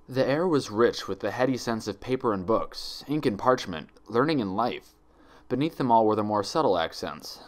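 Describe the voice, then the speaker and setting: A man narrating a written story in a deliberate, dramatic storytelling manner. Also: lowish voice. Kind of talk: scripted narration, dramatic fan fiction reading.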